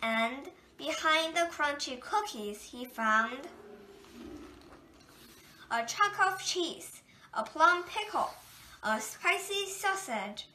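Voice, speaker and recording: A woman reading a children's picture book aloud in a gentle, unhurried storytelling manner. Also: high-pitched. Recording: echoey room.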